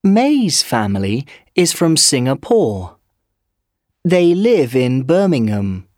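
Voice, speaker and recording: A reader voicing a language textbook recording, speaking clearly and deliberately for learners. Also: medium pitch, no echo, studio quality. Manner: clear, deliberate, lively intonation. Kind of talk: slow scripted reading for learners.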